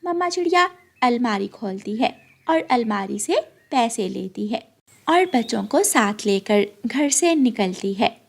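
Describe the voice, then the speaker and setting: A woman's voice: a little high, lively intonation, measured pace, creaky. A woman narrating a scripted moral story for children as the storyteller.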